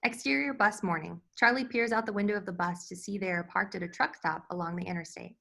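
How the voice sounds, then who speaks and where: A woman narrates a screenplay, reading out the stage directions as scripted narration. Her voice sits at a middle pitch. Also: fast, gravelly, sharp tone. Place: virtual table read over video call.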